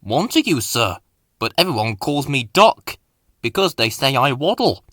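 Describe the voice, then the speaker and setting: Male storybook engine acted by a man, courteous, self-assured, proud, bright and animated. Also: mid-range voice, measured pace, no room sound, studio quality. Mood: polite, modest. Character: polite, confident, proud male railway engine.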